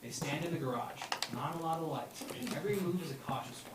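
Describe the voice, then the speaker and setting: A man's voice: fairly high pitch, fast. A man reading stage directions aloud at a comedy script table read, prone to breaking into laughter. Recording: noisy echoey room, far from mic.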